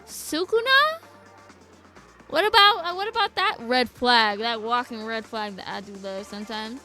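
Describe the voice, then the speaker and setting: Bright-voiced woman live-streaming a game. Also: on the high side, expressive, a little slow, faint music behind. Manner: joking, slangy, teasing. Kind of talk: casual live-stream chat.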